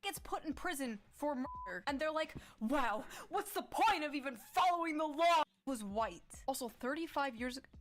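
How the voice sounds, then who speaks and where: A woman's voice: high-pitched, expressive, moderate pace, slight background noise. A woman giving sarcastic, incredulous reaction commentary as an online video commentator. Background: music.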